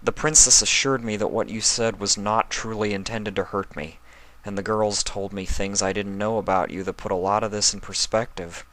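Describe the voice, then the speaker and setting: A man's voice: fairly low pitch, fairly even intonation, normal speed, fairly bright tone, close to an everyday voice, rough recording. A man voicing a hurt young male pony in a story reading, earnest and emphatic. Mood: forgiving.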